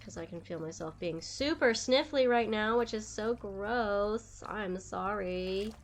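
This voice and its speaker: A woman's voice: medium pitch, unhurried. A young woman streaming a game, chatting casually with viewers while stuffed up and nasal from a cold. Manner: chatty, rambling, lively. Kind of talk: casual live-stream chat while gaming.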